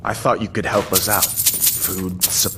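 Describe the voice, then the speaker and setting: Deep-voiced, earnest fantasy soldier. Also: male voice, flat delivery, measured pace, noisy background. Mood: sober, shaken. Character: earnest, shaken young soldier reporting a dragon attack. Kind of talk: video game character acting.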